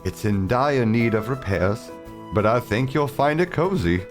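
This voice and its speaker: A man's voice: mid-range voice, expressive, a little slow, faint music behind, natural voice. A man playing a scheming, polite lady of the mansion. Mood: sweetly scheming. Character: gracious scheming female mansion hostess.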